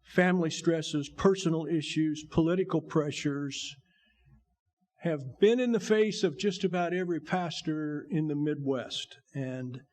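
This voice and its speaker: An older man with a gravelly voice, a bishop giving a folksy, joking testimony to his church. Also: on the high side, a little slow, a little mellow. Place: church sanctuary.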